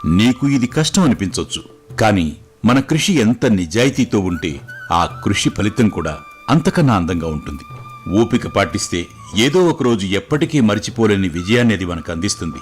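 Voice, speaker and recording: A man playing a calm, wise fatherly king who gives measured, reassuring moral advice in a storybook tale. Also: on the low side, soft background music. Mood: patient, encouraging. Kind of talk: scripted moral story dialogue.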